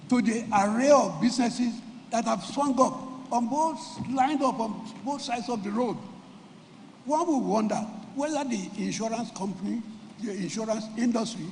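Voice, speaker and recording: A Nigerian man giving a formal prepared speech at a symposium, measured and deliberate, losing his voice and clearing his throat. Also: very high-pitched, darkish tone, a little hiss or hum. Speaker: symposium speaker. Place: event hall. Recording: reverberant hall, faint ambience.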